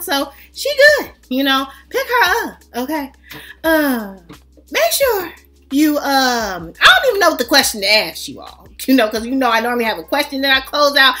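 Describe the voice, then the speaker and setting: A woman with a bright, high voice, the kind heard on a beauty channel's storytime, dishing out mock-serious advice over music. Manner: playful, teasing, mock-serious instructing with frequent check-in tags. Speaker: fragrance vlog host. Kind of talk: casual comedic storytime chat.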